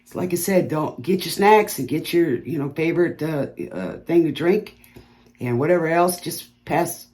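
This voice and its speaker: A woman's voice: low voice, normal speed, darkish tone. Chatty, rambling woman tarot reader. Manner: rambling, chatty, stutters at times; laughs at own puns. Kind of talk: casual chat to viewers before a reading.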